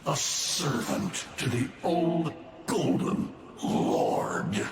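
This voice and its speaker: An actor playing a defiant, weary father in a game drama, with a grave, commanding, halting delivery. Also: fairly high pitch, lively intonation, heavily acted. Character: defiant, weary father confronting his son. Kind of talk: cinematic game drama acting. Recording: distant, very echoey, noisy.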